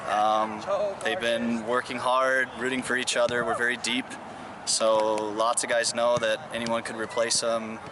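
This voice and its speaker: A man's voice: medium pitch, flat delivery, a little slow. A man giving spontaneous interview answers as a coach, recorded outdoors. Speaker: college soccer coach. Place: outdoors at a practice. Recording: noisy outdoor ambience with traffic.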